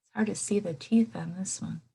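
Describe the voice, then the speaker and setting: A woman teacher reading aloud to young children in an expressive way, pausing to add asides and prompt them with questions. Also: mid-range voice, gravelly, slightly rough. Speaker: elementary school teacher.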